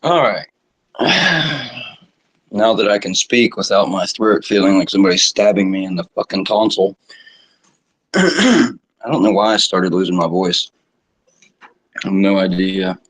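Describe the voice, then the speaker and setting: A middle-aged man with a sore throat chatting casually to viewers, clearing his throat often and swearing offhand. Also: low voice, lively intonation, measured pace, pauses often, fairly bright tone, rough recording. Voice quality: husky. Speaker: cannabis vlogger. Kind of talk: casual rambling chat to viewers.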